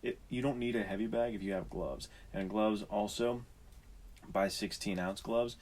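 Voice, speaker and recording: A man's voice: fairly low pitch, normal speed, a little mellow. A man co-hosting a podcast in casual, informal chat, speaking in an earnest, encouraging way. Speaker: podcast co-host.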